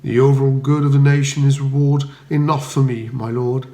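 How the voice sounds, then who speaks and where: An unflappable English valet from a comic storybook, voiced by a male reader. He is formal, deferential and measured, with quiet shrewdness. The recording sounds roomy. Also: medium pitch, flat delivery, close to an everyday voice. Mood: composed, deferential.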